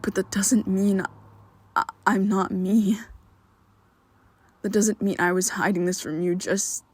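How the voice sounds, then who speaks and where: A woman voicing a secretive, protective young male vampire best friend in an ASMR roleplay, talking haltingly and often dropping to a whisper. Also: mid-range voice, little pitch movement, husky, dry recording. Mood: pleading.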